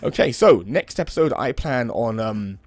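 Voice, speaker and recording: Hoarse young man narrating gameplay. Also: medium pitch, moderate pace, no echo. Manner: relaxed, rambling, many "um" and "kind of". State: hoarse from a cold. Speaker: let's play host. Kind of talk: casual gameplay commentary.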